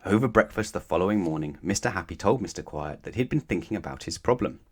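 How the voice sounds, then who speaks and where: A man reads a children's bedtime story aloud in a somewhat low voice. His narration is animated and expressive, addressed straight to young listeners. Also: quick pace, slightly rough. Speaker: storybook reader.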